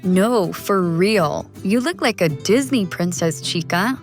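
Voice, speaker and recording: Slow-talking teasing teenage bestie. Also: female voice, mid-range voice, animated, soft background music. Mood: teasing, admiring. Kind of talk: audio fiction drama acting.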